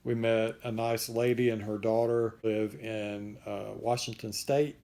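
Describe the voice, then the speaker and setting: Male vlogger, casual unscripted update, warm low-key tone, voice wearing thin. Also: a little slow, vocal fry. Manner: relaxed, rambling with restarts. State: losing his voice.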